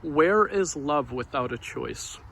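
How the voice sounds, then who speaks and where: A soft-spoken man giving an informal devotional talk outdoors near a street, in a quiet, unhurried manner. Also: on the high side, lively intonation, gravelly. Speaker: devotional vlogger. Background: passing cars.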